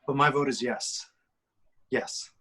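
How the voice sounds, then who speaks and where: An earnest superhero acted by a man in a script reading. His mid-pitched voice has a forceful edge and a rough grain, consoling and gently persuasive over a distant microphone. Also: fairly even intonation, slow pace, heavily acted. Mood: contrite.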